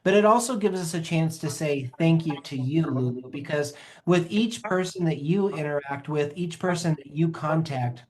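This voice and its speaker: Man host, earnest, gushing, stumbling restarts, slightly high voice. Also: a little mellow, poor audio. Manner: earnest and effusive, halting restarts. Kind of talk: online panel conversation.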